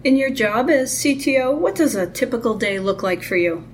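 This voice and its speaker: A woman hosting an interview podcast who reads prepared questions in a clear, even way. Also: on the high side, normal speed, a little hiss or hum. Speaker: podcast host.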